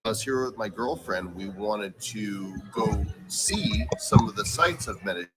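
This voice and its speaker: A man's voice: fairly low pitch, wildly swooping pitch, a little slow, a bit bright, faint music behind, low-quality recording. A man hosting a casual interview on location, asking questions in an emphatic way. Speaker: interviewer, host.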